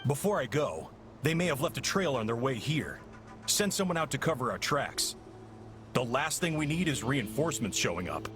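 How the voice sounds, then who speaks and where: A calculating commander for a video game. He sounds smug and controlled at an average pitch, speaking precisely over clear background music. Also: sing-song, normal speed, a bit bright. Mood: commanding. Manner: articulate, condescending.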